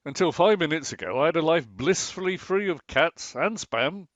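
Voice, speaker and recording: A man's voice: on the high side, expressive, moderate pace, no room sound, lo-fi sound, lightly acted. A man acting a long-suffering, dryly sarcastic husband in a domestic radio comedy. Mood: exasperated. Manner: dry, exasperated sarcasm.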